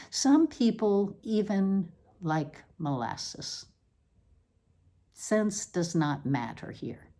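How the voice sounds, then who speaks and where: A woman reading a scripted literary essay aloud, in a deliberate, measured manner with emphatic phrasing. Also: mid-range voice, warm tone.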